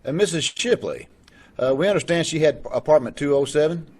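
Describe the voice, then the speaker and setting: A woman's voice: sits low, lively intonation, a little fast, a little mellow, slightly rough. A woman playing a chatty, homely male police officer in an old-time radio drama, speaking in a folksy, conversational way. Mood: polite. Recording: noisy, with clicks and background sounds.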